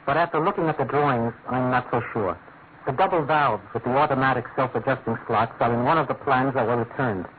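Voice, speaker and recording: An actor playing a troubled, deferential British senior clerk, formal and measured, in a classic radio drama. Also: male voice, mid-range voice, natural voice. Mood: measured, doubtful. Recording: noisy, narrow-band old radio recording.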